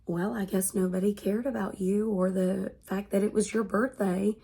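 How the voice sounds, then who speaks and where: A woman in her thirties, congested and hoarse from being sick, talking earnestly to her vlog audience. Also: medium pitch, fairly even intonation, dark tone. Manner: slow, earnest and measured. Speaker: vlogger. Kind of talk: personal vlog monologue.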